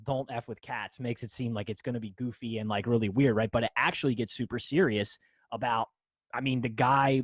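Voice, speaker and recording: A man co-hosting a podcast, chatting casually and quickly, talking over the others. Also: mid-range voice, no echo. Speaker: podcast co-host. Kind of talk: casual chat. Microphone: studio mic.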